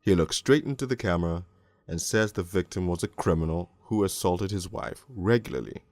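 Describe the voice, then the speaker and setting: A man playing a crude, cynical police detective, narrating a crime storybook in the first person in a gravelly, world-weary, deliberate way. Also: low-pitched, darkish tone, no room sound. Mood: wry, sardonic. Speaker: narrator and lead voice actor in an animated storybook.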